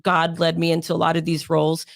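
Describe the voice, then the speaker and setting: A young adult woman, hoarse and raspy from sinus pressure, chatting as an interview guest in a rambling way with many fillers. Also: low-pitched, completely flat delivery, quick pace, no echo, clean studio sound. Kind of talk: casual interview storytelling.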